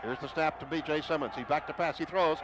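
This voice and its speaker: Guy calling football on the radio, fast and excited, lots of background noise. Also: a little high, a loud crowd. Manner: rapid excited calls rising to shouts on big plays. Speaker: play-by-play announcer. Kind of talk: radio play-by-play commentary. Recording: noisy stadium radio broadcast.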